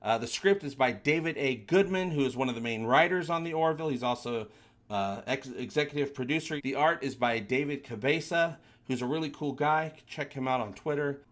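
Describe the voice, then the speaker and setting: A man's voice: mid-range voice, fairly quick, fairly bright tone. A man hosting a sci-fi comic read-along, narrating casually and chatting to listeners.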